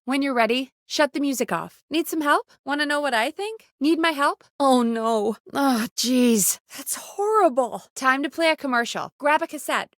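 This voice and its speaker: A woman voicing a video game character, a brisk, wry late-night radio producer who talks in a bossy, teasing, conversational way. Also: sits high, no room sound. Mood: shocked. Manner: bossy, teasing, dry sarcasm. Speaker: radio show producer giving cues.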